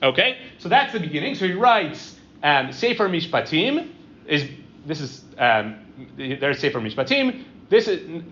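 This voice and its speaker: A middle-aged man lecturing to a live audience, conversational and halting, with lots of "um" and "right?" checks. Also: a little high, a bit bright. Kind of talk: university-style lecture with audience questions. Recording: dull lo-fi room recording with faint ambience.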